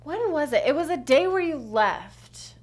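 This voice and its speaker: Female podcast co-host, blunt and assertive, slightly high voice. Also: measured pace. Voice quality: low for a woman, assertive. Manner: blunt, animated storyteller. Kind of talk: casual chat.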